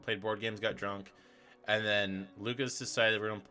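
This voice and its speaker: A man's voice: on the low side, little pitch movement, normal speed, pushed and bright. A young man co-hosting a trivia stream, chatting casually in a rambling, profane way and correcting himself as he goes. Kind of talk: casual chat.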